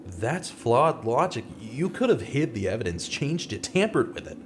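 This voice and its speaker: A man playing a skeptical, dogged, brooding investigator in a vintage-style radio mystery, with a questioning, deliberate, dramatic delivery. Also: medium pitch, big pitch swings, a little hiss or hum, close to an everyday voice. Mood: skeptical, accusing. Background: music.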